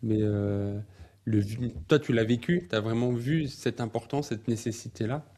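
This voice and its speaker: Male podcast host with a mellow voice, encouraging, sums up and restates his guest's points. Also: medium pitch, unhurried. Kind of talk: casual interview talk.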